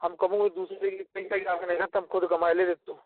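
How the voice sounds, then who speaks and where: Young male, unusually high pitch, defensive in a family dispute, thin phone-line sound. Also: little pitch movement, brisk. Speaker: son-in-law on a phone call. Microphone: telephone. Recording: tinny recorded phone call.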